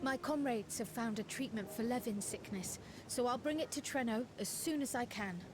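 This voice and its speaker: A woman's voice: fairly high pitch, normal speed, noisy background, natural voice. A woman voicing an earnest, kind young adventurer in a video game cutscene, sounding sincere.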